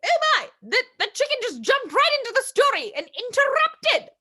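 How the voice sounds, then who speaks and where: A woman's voice: very high voice, wildly swooping pitch, moderate pace, bright, forceful tone. A woman hosting a children's story time, reading a storybook in a theatrical, exclamatory way and addressing young listeners directly.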